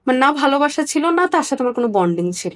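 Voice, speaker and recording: A young woman with a Bangladeshi accent and a fairly high voice tells her personal story in an interview. Her delivery is earnest and halting, and she repeats phrases to stress her point. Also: sing-song. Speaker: interview guest, nurse.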